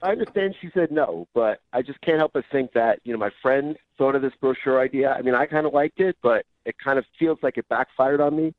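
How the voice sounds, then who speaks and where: A man's voice: mid-range voice, fairly quick, few pauses, no echo. A man calling into a radio show over a phone line, chatting casually in a defensive, hedging way. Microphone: phone call. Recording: dull, noisy phone-call line.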